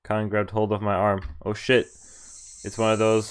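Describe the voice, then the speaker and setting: A man's voice: lowish voice, completely flat delivery, normal speed, smooth. A man streaming a game, reading a visual novel aloud and adding playful, chatty commentary that wanders into asides. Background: game music.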